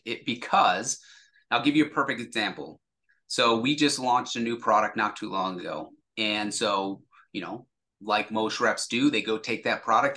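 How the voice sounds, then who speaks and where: A man's voice: on the low side, sharp tone. A man speaking as an interview guest, a sales executive explaining things casually and acting out example dialogue.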